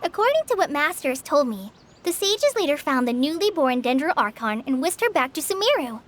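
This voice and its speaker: An earnest, very high-voiced young ranger. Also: female voice, sing-song, fairly quick, a little hiss or hum. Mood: earnest, informative. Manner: earnest, helpful, explanatory. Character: earnest, eager young trainee forest ranger, youthful and dutiful. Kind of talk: video game voice acting. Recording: music behind the voice.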